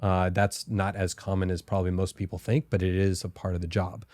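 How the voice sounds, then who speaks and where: Low-voiced man, careful and halting. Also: level tone, darkish tone, no echo, clean studio sound. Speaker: podcast guest, former intelligence officer. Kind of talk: reflective interview answers.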